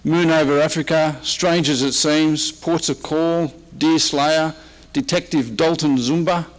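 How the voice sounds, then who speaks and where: An Australian man speaks to a convention room as a presenting historian. His voice sits a little high, and his delivery is casual and informal, with a dry sense of humour. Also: fairly even intonation, a little slow, poor audio. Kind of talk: informal slide-show talk.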